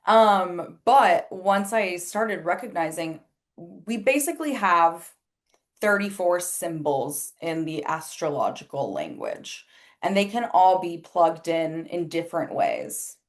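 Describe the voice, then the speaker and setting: A woman's voice: on the low side, sing-song, takes it slowly. Chatty woman, native Spanish speaker. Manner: chatty and candid, many "like" fillers, acts out quoted remarks. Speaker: astrologer and life coach, podcast guest. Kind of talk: casual interview chat.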